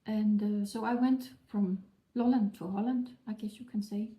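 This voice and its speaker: A woman from Denmark speaks, her tone very dark and mellow. She explains herself in a friendly, earnest manner. Her voice carries the echo of a living room. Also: mid-range voice, steady tone, moderate pace. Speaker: fantasy writer. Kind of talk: scripted conversation. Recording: echoey room.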